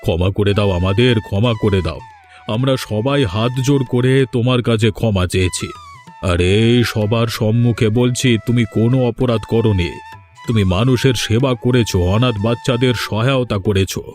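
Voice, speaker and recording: A grave village elder from a cartoon folk tale, played by a man, authoritative yet humbled. He speaks emphatically at a middling pitch, with music behind him. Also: normal speed, darkish tone, close to an everyday voice. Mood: apologetic, pleading. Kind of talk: Bengali moral-tale cartoon dubbing.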